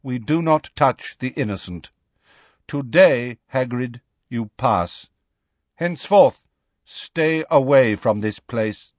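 A man voicing a proud, stern male centaur in a storybook, speaking in a formal, measured and calmly menacing way. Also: medium pitch, no room sound, lo-fi sound, voice pushed up. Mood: calm, commanding.

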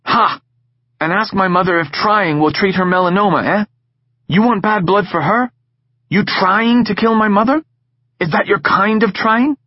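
A man voicing a scornful, shrill middle-aged woman who scolds her husband in a sharp, biting way, in an audiobook reading. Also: moderate pace, breathy edge, highs cut off. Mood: furious scolding.

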